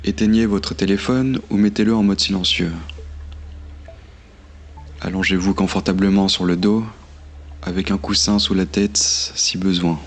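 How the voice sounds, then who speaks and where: Low-pitched man guiding meditation, very even. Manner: calm, soothing, measured delivery with long pauses. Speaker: guided meditation instructor. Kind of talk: slow guided meditation narration. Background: meditation music.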